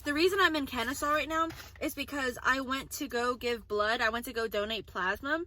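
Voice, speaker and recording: A woman's voice: fairly high pitch, fairly quick, bright, forceful tone, no room sound. A woman in her twenties chatting casually to a phone camera in a vlog, rambling with lots of "like" fillers. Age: 20s. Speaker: vlogger. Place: indoors and in a car.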